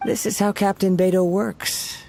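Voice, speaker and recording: An actor voicing a calm, poetic young male samurai in a video game who speaks in a measured, reserved, formal way. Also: sits high, gravelly, background noise.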